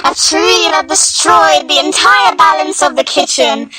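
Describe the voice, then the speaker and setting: Female fanfiction narrator, high-pitched and bright, melodramatic mock-serious storytelling delivery. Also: very animated, measured pace, low-quality recording. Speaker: fanfiction reader. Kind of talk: dramatic scripted story narration.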